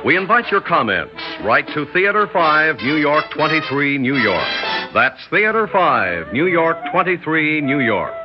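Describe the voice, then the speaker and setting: A man announcing formal, measured scripted credits in a 1960s radio style. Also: fairly high pitch, very animated. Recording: dull old radio broadcast with music.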